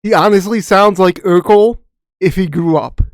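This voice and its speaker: Earnest young man on a podcast, higher voice. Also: no echo, clean studio sound. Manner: earnest, halting with restarts. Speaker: podcast co-host. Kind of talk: casual chat.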